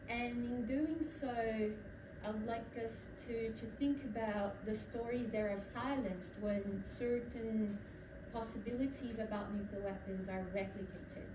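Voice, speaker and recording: A young Brazilian academic woman gives a conference presentation with a Brazilian accent touched by New Zealand vowels. Her voice sits a little high, and she often pauses on ums and restarts as she tells her story. She is picked up from across an echoey room, with noise in the background. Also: distant mic. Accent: Brazilian with a New Zealand tinge. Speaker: academic speaker. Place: lecture room.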